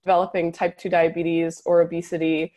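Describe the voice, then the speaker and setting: A woman dietitian, a podcast guest with a slightly hoarse voice, explaining fluently. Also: fairly low pitch, completely flat delivery, fairly quick, warm tone. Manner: fluent, explanatory, occasional laughs. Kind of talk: podcast interview.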